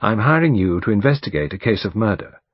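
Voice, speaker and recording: A man's voice: lowish voice, sing-song, quick pace, dry recording. A man reading an audiobook, voicing an earnest, determined young woman who speaks insistently. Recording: dull lo-fi recording.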